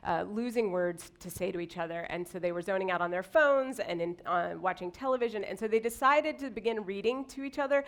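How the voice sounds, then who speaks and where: A woman's voice: mid-range voice, a little fast, hardly any pauses. A woman co-presenting a live slideshow talk to an audience.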